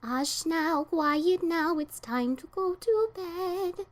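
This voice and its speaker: A woman's voice: very high-pitched, slow. A woman playing an anxious, motherly cartoon pony wife in a fan audio drama, fretful and stubborn. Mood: tender, soothing.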